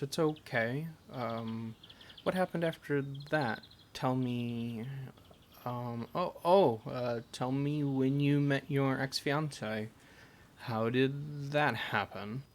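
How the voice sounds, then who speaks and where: A man acts out a male fantasy adventurer looking after a companion in an amateur audio roleplay. He talks slowly at a middle pitch, gentle and halting, caring with a teasing streak. A faint noise sits behind the voice. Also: natural voice. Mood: gentle, concerned. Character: caring, teasing male adventurer comforting an injured party member.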